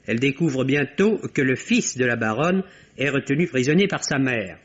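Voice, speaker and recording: A man's voice: mid-range voice, expressive, normal speed. A man reads a scripted radio film review in a wry, literary, critical manner. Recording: dull, band-limited vintage radio recording.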